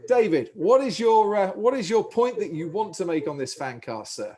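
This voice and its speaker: A man's voice: high-pitched, animated, normal speed, a little mellow. A man hosting a podcast with remote guests, upbeat and jokey, in broadcaster patter over a video call. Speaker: podcast host.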